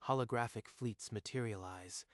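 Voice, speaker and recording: A man's voice: on the low side, moderate pace, dry recording. A man giving scripted, dramatic narration for a manga dub. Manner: dramatic storytelling. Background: faint music.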